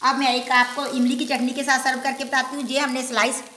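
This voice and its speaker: A woman hosts a cooking demonstration in her home kitchen, talking the viewer through each step in a chatty, homely way. Her voice is high and bright. The room is echoey, with a faint sizzle from the griddle behind her. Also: little pitch movement, a little fast, slight background noise. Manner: homely step-by-step explaining with filler "ji". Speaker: cooking show host.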